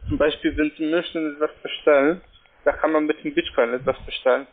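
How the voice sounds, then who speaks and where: A man who is not a native German speaker, making a halting telephone sales pitch and often checking in with a short question. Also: steady tone. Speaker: cold-calling telephone salesman. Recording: tinny narrow-band phone line.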